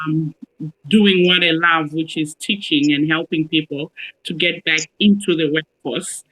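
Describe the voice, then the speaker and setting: Hey, cheerful zimbabwe-born woman, bright sharp voice, chatting on a video call. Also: deep voice, fairly even intonation, unhurried, low-quality recording. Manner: cheerful, upbeat. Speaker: guest. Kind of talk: self-introduction on a video call show.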